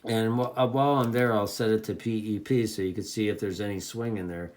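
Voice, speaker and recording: A man's voice: fairly low pitch, steady tone, brisk, darkish tone. A man giving a casual, enthusiastic product demonstration in an informal, folksy way, like a reviewer talking to hobbyists. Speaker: radio reviewer.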